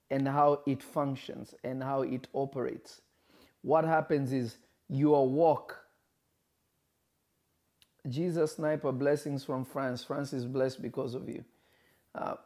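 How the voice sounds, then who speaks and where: Man, preacher, livestream sermon, emphatic, repeats phrases, warm mellow voice. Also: medium pitch. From Kenya. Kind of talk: livestream sermon reading viewer comments.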